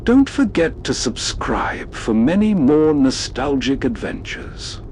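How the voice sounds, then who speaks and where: A man's voice: fairly high pitch, very animated, slow pace, darkish tone, slight background noise. A man narrating as host in a scripted, nostalgic storytelling style.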